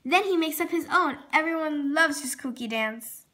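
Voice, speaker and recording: A very high, bright female voice reads a children's storybook aloud. Her delivery is expressive, with words sometimes stumbled over and then corrected. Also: moderate pace. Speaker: storybook reader.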